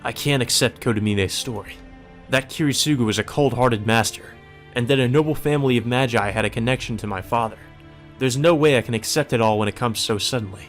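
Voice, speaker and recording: A man's voice: mid-range voice, a little fast. A man reading scripted story narration aloud for a visual novel playthrough. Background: music.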